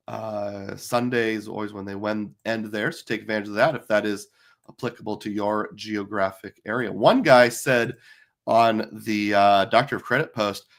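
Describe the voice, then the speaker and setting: A middle-aged man with a hoarse, tired voice, hosting a live stream in casual chat, rambling with lots of fillers. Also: on the low side, a bit bright. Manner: chatty, rambling with many fillers. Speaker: livestream host.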